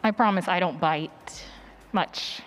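A woman's voice: lowish voice, takes it slowly. Playful woman presenter, noisy live room. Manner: playful, chatty, informal. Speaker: workshop presenter. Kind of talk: casual chat with audience before a talk. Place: on a stage at a live conference session. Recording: noticeable background noise, live event ambience.

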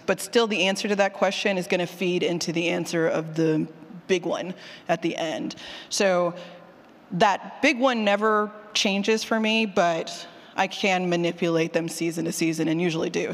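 A woman presents casually to a room in a reverberant hall. She is candid and animated, poking fun at herself and voicing her own thoughts as she goes. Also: on the low side, normal speed. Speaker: presenter, fiction podcast writer. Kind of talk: casual conference talk with audience questions. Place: indoor session room with long reverb.

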